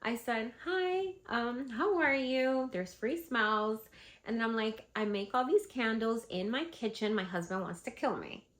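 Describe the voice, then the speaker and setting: A woman's voice: on the high side, a little slow. A woman with a stuffy, congested voice from a cold, chatting casually as she shares tips on selling at vendor events. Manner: chatty, animated, lots of fillers. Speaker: vlogger and candle seller. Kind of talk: casual advice vlog.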